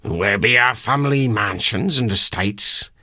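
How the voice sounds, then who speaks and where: A man's voice: fairly low pitch, a little slow, sharp tone, no echo, studio quality, voice pushed up, heavily acted. An actor voicing a middle-aged, naive and self-important country man with a West Country English accent and a wondering, wheedling manner, in a literary audiobook. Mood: eager, wheedling. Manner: rustic dialect, wondering and wheedling.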